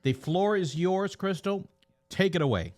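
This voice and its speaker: A man's voice: sits high, expressive, a little slow, a little mellow. A man acting as host, briefly introducing a speaker at a conference, upbeat.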